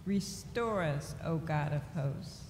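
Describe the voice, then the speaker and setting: A middle-aged woman reading scripture aloud at a church lectern in a slow, deliberate way. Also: sits low, expressive. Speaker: lay reader. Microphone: lectern microphone. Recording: echoey church hall with faint noise.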